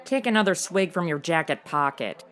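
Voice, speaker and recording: A woman's voice: on the low side, expressive, normal speed, a bit bright. A woman playing a sassy modern young woman in a comedy audio drama, slangy and flippant.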